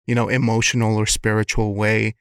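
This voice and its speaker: A man hosting an interview podcast, his voice gravelly with strong vocal fry. The sound is close and dry, and he asks searching questions, often restarting words as he goes. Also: fairly low pitch, flat delivery, brisk, dry recording. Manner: thoughtful, restarts words, affirming. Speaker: podcast host.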